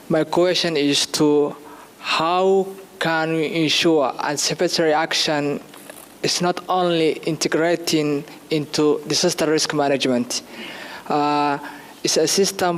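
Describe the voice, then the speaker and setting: A man's voice: a little high, smooth. A man from Somalia asking a question from the audience, hesitating and restarting his words. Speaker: audience member.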